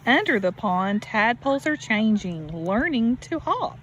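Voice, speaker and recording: A woman teacher reading a picture book aloud to children, deliberate and expressive, with questions and asides to her listeners. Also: fairly high pitch. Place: outdoors beside a natural pond. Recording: steady outdoor background noise. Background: birds and outdoor ambience.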